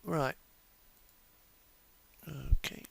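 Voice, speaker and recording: A man giving casual, unscripted game commentary as a livestream host, thinking aloud and muttering to himself hesitantly. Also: extremely slow.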